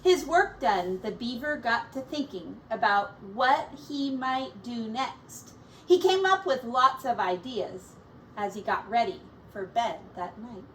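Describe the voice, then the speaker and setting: High-pitched, unhurried woman reading a storybook. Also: animated, distant mic, slight background noise, rough recording. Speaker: teacher reading aloud to young children. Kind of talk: storybook read-aloud with simple asides.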